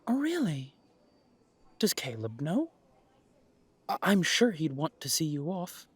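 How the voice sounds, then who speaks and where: Guy acting polite cafe server, soft n tactful, bit hesitant, dry recording, audio drama. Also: fairly high pitch, huge pitch swings, slow, husky, no room sound, exaggerated voice. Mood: polite, probing. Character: courteous, tactful café server, quietly probing.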